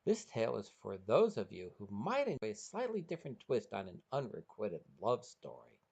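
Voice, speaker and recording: A man's voice: on the low side, wildly swooping pitch, normal speed, creaky, a little mellow, breathy edge. A man hosting a podcast and narrating a short story from a script.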